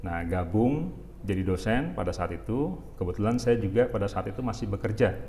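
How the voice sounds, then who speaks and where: Unhurried middle-aged man from Jakarta. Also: on the low side, animated, dark tone, a little hiss or hum. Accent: Jakarta Indonesian. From Jakarta, Indonesia. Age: 40s. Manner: unhurried, halting, many fillers. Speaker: university rector, guest. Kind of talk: casual interview talk.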